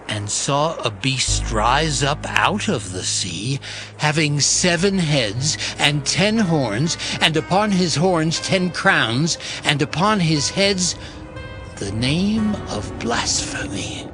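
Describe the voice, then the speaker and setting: A man narrating a dramatized scripture reading in a slow, solemn, deliberate manner. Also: a little high, animated. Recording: music bed underneath.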